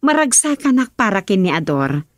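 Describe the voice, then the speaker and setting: A woman's voice: mid-range voice, very animated, normal speed, no echo, studio quality, natural voice. A woman playing a governor's gracious wife in a radio drama. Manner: gracious, commanding staff.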